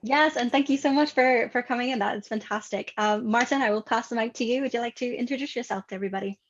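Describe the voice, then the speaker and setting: A woman's voice: on the high side, quick pace, dull audio, a little hiss or hum. A woman moderating a webinar panel over a video call, upbeat and encouraging as she passes the floor to each speaker.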